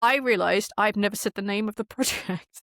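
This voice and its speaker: A woman chatting casually as a podcast guest, telling things in an animated, enthusiastic way. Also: medium pitch, a little fast, no room sound, studio quality. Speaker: podcast guest, audio drama creator and game master. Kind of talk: casual interview chat.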